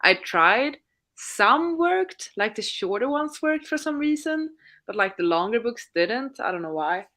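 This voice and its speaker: A woman's voice: fairly high pitch, very animated, a little slow, pushed and bright. A woman co-hosting a live reading stream, chatting in a friendly way and asking the others questions. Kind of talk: casual chat.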